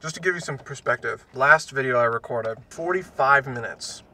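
A man's voice: medium pitch, moderate pace, sharp tone. A man in his twenties chatting casually in a joking, rambling way, as a vlogger in a car. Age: 20s. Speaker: vlogger and host. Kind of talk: casual chat. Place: inside a parked car. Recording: a bit distant, some background noise.

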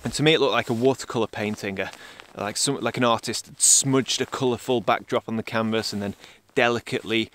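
A man's voice: mid-range voice, steady tone, a little fast, hardly any pauses, a bit bright. A man, a landscape photographer casually narrating his vlog outdoors, thinking aloud. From England. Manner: reflective, thinking aloud with fillers. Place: outdoors in winter Scottish highlands.

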